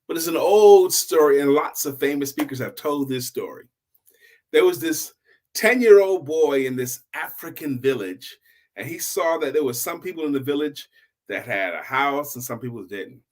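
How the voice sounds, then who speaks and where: Higher-voiced man telling an inspirational story. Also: expressive. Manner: deliberate, emphatic, repeats phrases for effect. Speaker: storyteller and host of a story show. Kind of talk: motivational oral storytelling to online viewers.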